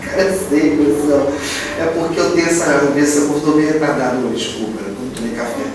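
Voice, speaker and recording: A man in casual, rambling comic dialogue, flattering and excitable in manner. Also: a little high, brisk, a crowd in the background. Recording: echoey room playback, distant, clearly noisy.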